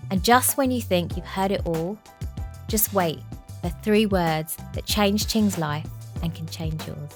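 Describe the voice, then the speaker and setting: A woman's voice: fairly low pitch, a little slow, over music. A woman hosting an interview podcast, effusive and emphatic, drawing out key words. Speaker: podcast host. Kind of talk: interview podcast with scripted intro.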